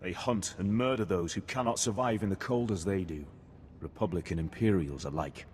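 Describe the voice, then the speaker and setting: Low male voice, actor as formal disdainful captain, game dialogue, long reverb, background noise. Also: moderate pace, natural voice. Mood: grim, disdainful. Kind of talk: video game voice acting. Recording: reverberant hall, noisy game ambience.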